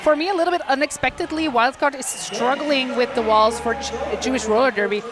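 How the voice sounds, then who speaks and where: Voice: sits high, brisk, a crowd in the background. Roller derby commentator. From Belgium. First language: Dutch. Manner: chatty, self-deprecating, laughs often. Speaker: play-by-play commentator. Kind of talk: live sports commentary.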